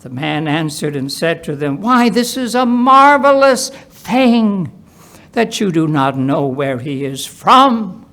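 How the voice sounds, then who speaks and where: A man reading the Bible aloud as a church scripture reader, deliberate and solemn, with emphatic delivery of quoted speech. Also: high-pitched, very animated, bright, forceful tone.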